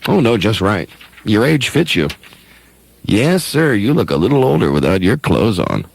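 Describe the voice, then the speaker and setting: A man's voice: lowish voice, very animated, normal speed, vocal fry, no echo, full character voice. A man playing an eager, folksy young small-town dreamer in a comedic script read, stammering as he goes. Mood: flustered, teasing. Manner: stammering, breaks off with asides. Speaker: radio show performer.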